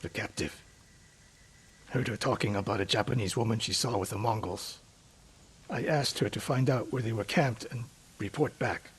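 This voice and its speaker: An actor playing a proud, regretful older samurai master in a video game, speaking gravely in clipped, defensive phrases. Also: male voice, lowish voice, normal speed. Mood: bitter regret. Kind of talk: dramatic video game acting. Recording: music behind the voice. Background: music.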